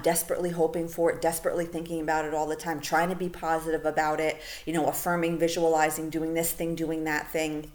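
A woman with a low voice hosts her own podcast alone. She talks in a loose, chatty way with plenty of fillers. Her voice is going a little hoarse as she is losing it. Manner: casual, chatty, lots of fillers like "you know" and "like". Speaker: podcast host. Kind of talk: solo podcast monologue.

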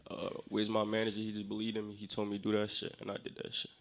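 A man's voice: lowish voice, monotone, fast. A young man from Nigeria, a rapper guest talking casually and cockily in an interview, full of slang. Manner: cocky, slangy, laughs easily.

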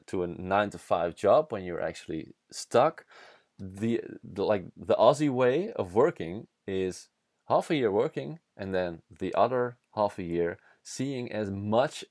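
Young Dutch-accented male host, lower register, candid storytelling, dry booth sound. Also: a little mellow, dry recording. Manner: candid, swears, frequent restarts and fillers. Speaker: podcast host. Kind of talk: casual storytelling monologue.

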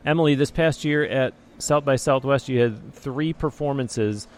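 A man with a deep baritone voice, hosting a podcast interview in a measured, polished way. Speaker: podcast host. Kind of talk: interview and host-read ad. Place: remote location. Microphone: handheld recorder with external mics.

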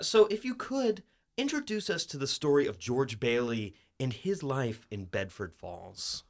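A man hosting a radio interview, moving between scripted introduction and questions. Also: mid-range voice. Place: radio studio.

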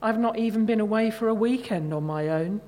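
A woman's voice: a little high, fairly quick, mellow, close to an everyday voice. A woman voicing an elderly, long-married mother, proud and plain-spoken, in a short story reading. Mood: conspiratorial.

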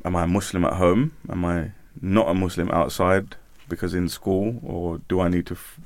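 A man's voice: low-pitched, fairly even intonation, moderate pace, dry recording. A man co-hosting a radio discussion, explaining his points earnestly with plenty of "you know" and "um". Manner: earnest, explanatory, many fillers. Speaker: radio show co-host. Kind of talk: casual panel discussion.

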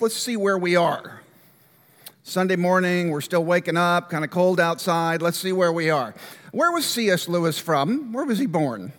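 Older minister lecturing in a hall. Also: male voice, sits high. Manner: relaxed, conversational, clears throat often. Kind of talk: informal lecture to a church class. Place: large church room.

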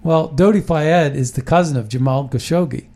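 A man's voice: on the high side, normal speed, a little mellow. A man hosting a casual political talk show, rambling and emphatic, often restarting his sentences.

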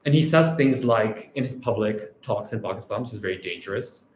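A man's voice: on the low side, lively intonation, fairly quick, darkish tone, slight background noise. Reflective male academic in an echoey room. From Canada. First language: Urdu. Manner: reflective, often ends points with "right?". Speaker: academic researcher. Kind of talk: scholarly talk.

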